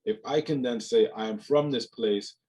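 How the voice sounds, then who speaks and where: A man's voice: mid-range voice, normal speed, mellow. A young man from Jamaica, a guest on a video call, talking in a reflective, casual way with many fillers and repeated words. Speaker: guest, mental health speaker. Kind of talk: casual interview conversation. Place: home room, indoors.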